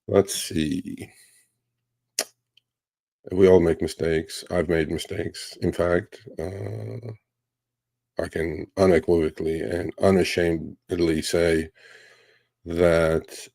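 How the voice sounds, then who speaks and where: A man in his fifties from Turkey, hosting a livestream Q&A and telling stories in a relaxed, rambling way with many fillers. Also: low voice, creaky, no room sound, studio quality. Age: 50s. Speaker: livestream host. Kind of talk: casual livestream Q&A.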